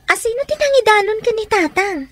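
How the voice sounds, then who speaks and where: Very high-pitched Ilocano schoolgirl. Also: expressive, a little fast, a bit bright. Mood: anxious. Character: whiny young schoolchild, eldest sister, pleading with father. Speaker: radio drama actor. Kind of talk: Ilocano radio comedy drama acting.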